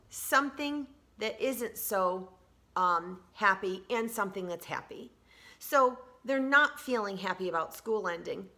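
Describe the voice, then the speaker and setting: A woman with a bright, forward voice reads a picture book in the manner of an elementary teacher. She speaks simply and encouragingly to young children, adding explanations as she goes. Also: medium pitch. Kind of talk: children's read-aloud with explanatory asides.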